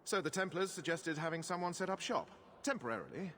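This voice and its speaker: A man's voice: a little high, animated, a little fast, fairly bright tone, a little hiss or hum. An actor playing a self-serving merchant in a video game, unctuous and defensive in his talk. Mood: smug. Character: slick, self-serving, apologetic male merchant. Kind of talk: video game dialogue acting.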